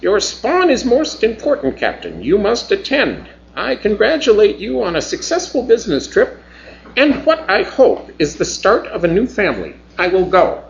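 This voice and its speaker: Man doing a soft, formal alien gentleman. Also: sits high, huge pitch swings, normal speed, vocal fry, muffled recording, a little hiss or hum, pitched above the performer's own voice, full character voice. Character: polite, formal alien business partner, male.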